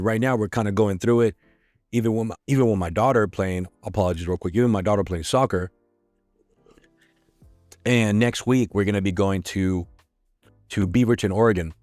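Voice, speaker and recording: A middle-aged man with a hoarse voice hosting a podcast, talking frankly in a casual, conversational way. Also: on the low side, darkish tone, no room sound. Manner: frank, conversational, sprinkled with swearing. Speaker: podcast host. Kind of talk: casual solo podcast talk.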